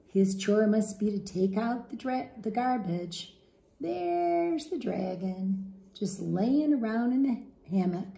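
Woman in her fifties, children's storyteller, very lively intonation, deliberate slow pace, echoey living room. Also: mid-range voice, gravelly. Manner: deliberate, dramatic emphasis with questions to listeners. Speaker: teacher reading a picture book to children. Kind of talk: expressive read-aloud storytelling for children. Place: large open living room at home, indoors. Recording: roomy home echo.

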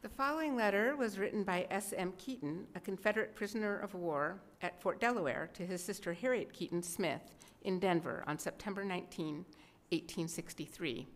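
Casting: middle-aged woman presenter, slightly low-pitched, scripted introductions at a podium mic. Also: normal speed. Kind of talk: scripted narration to a live audience. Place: indoor museum gallery. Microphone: podium gooseneck microphone.

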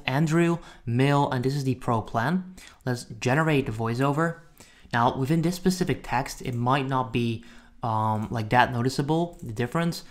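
Male tutorial host, informal and chatty, walking viewers through software in a medium-pitched voice. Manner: informal, addresses viewers as guys. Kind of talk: casual screen-recording walkthrough.

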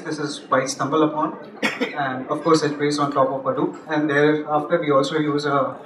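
A young man giving a technical talk at a meetup, halting with many restarts and fillers, on a handheld mic in a large office room. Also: on the high side, fairly bright tone. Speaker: conference speaker. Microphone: handheld mic, far camera. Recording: echoey room from far off, noisy.

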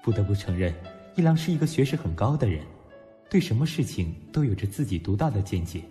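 Male actor as young male college student, blunt, teasing, hot-tempered, very animated delivery, audio drama, music underneath. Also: fairly low pitch, quick pace. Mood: reflective narration. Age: young adult. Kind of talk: Mandarin audio drama acting and first-person narration.

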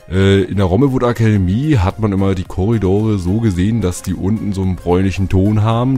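A man with a cold and a slightly hoarse voice, chatting casually as he gives a tour of his build and keeps adding little filler tags. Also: low-pitched, dark tone. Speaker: Minecraft YouTuber. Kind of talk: casual gameplay tour narration.

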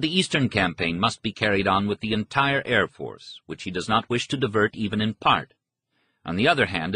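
A man reads a history audiobook in a measured, precise, formal manner. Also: low voice, bright, forceful tone, breathy edge, dry recording. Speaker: audiobook narrator. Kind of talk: scripted narration of a history book.

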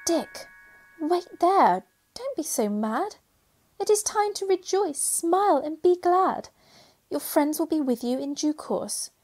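A woman's voice: high-pitched, expressive, slow pace, a little mellow. A woman playing a kindly magical helper in a radio pantomime, speaking in sing-song rhyme. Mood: reassuring, joyful. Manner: sing-song rhyming delivery. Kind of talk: pantomime radio play acting.

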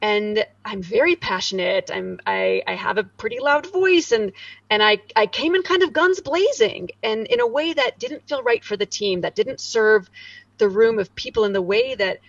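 A woman in her forties speaking in an energetic, emphatic way as an interview guest over a video call. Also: moderate pace, gravelly, no echo, recorded from a distance, dull audio. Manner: animated, emphatic, swoops high and low. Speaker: guest, corporate director. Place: home room, indoors. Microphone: studio mic on boom arm, video call.

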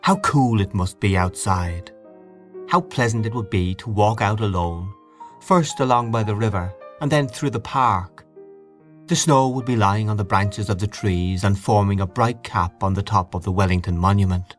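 A man with a lowish voice reads literary prose aloud. His reading is measured and expressive. Soft music plays beneath him. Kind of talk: reading a short story aloud.

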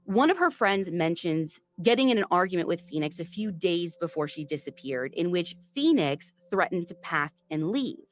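A woman's voice: on the low side, sing-song, normal speed, few pauses, faint music behind. A woman hosting a true crime podcast, retelling a case in a chatty, storytelling way. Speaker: podcast host.

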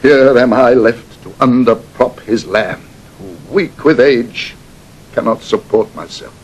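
A husky, careworn old duke. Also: male voice, medium pitch, slow pace, rough recording. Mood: weary, gloomy. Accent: British. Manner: muttering, hesitant, breaking off in fluster. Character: careworn, aged royal duke, flustered and bewildered. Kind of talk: classical Shakespearean radio acting.

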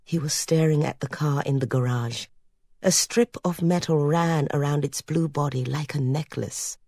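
Female audiobook narrator, deep mellow voice, literary storytelling with a measured, expressive delivery. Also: dry recording, clean studio sound.

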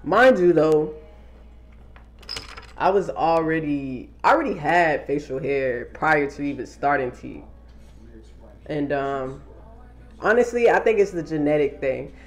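A man's voice: fairly high pitch, expressive, a little slow, long pauses. A young man talking casually to a camera held at arm's length in a small wood-paneled room, using loose slang and swearing freely. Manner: laid-back slangy talk, swearing. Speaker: vlogger. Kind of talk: casual vlog update to camera.

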